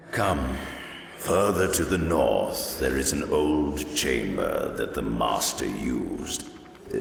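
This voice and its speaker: A man voicing an ancient, sly undead curator in a fantasy game, with a deep gravelly voice and a formal, theatrical way of savoring words. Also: slight background noise, exaggerated voice. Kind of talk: fantasy game character acting. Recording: echoey hall reverb, faint music behind.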